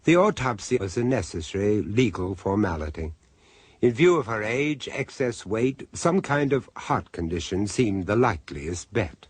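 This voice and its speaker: A male narrator of an old radio drama, with a low, husky voice. He delivers the lines in a grave, measured way, recorded close and dry, his pitch ranging widely. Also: big pitch swings, dry recording.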